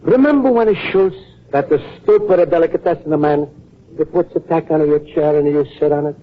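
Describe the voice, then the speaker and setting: An actor playing a naive, earnest, lovestruck Italian immigrant in an old-time radio comedy, speaking accented broken English. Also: male voice, a little high, a little fast, close to an everyday voice. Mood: lovelorn, pleading. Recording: muffled old radio broadcast recording.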